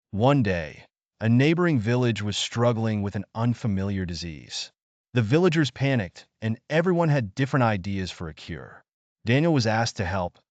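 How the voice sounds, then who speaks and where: A man narrating a scripted bedtime story for children in a measured, expressive manner. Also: medium pitch, no room sound.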